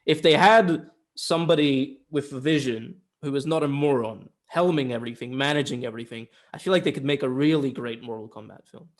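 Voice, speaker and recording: A man's voice: mid-range voice, a little fast, hardly any pauses. A young man chatting casually as a film review co-host, opinionated and given to repeating words for emphasis.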